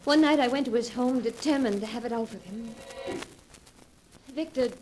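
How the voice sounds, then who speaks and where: A woman's voice: on the high side, normal speed, a little mellow, a little hiss or hum, natural voice, poor audio. An actress as an earnest, worried young woman. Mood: narrating. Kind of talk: 1940s radio acting.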